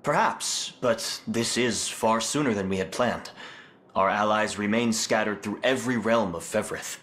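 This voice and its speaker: An actor playing a cautious, serious knightly adviser with a measured, formal manner in a fantasy video game. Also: male voice, lowish voice, fairly bright tone. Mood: cautionary.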